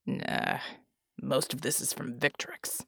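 A woman voicing a world-weary male mercenary who talks bluntly in a low, gravelly drawl. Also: steady tone, slow pace, fairly bright tone, full character voice. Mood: gruff.

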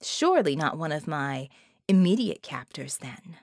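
A woman's voice: deep voice, very animated, measured pace, a little mellow, no echo, heavily acted. A woman voicing a cool, dry-witted, manipulative captive woman in an audiobook, speaking with control. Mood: cool, disdainful.

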